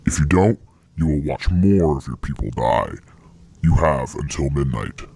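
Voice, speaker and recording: A man playing a menacing, self-righteous terrorist who delivers an ominous, deliberate scripted threat in a fictional hijacked broadcast. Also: lively intonation, mellow, exaggerated voice. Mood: menacing, taunting. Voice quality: gravelly, husky, very deep. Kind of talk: scripted villain monologue in a fictional emergency broadcast.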